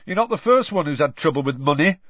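A man voicing a blunt, suspicious rural campsite owner who speaks loudly and gruffly. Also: a little high, expressive, fast talker, no echo, pitched above the performer's own voice. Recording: slightly dull lo-fi audio.

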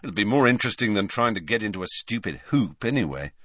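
A man's voice: lowish voice, lively intonation, quick pace, fairly bright tone, no echo, studio quality. A man voicing an earnest, reasonable teenage schoolboy in an audiobook, straightforward and youthful in manner. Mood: reasonable, encouraging.

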